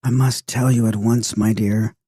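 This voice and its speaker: A man's voice: on the low side, flat delivery, moderate pace, dark tone, slightly rough, dry recording, clean studio sound. A man voicing a mysterious old lady guide who speaks in a repetitive sing-song.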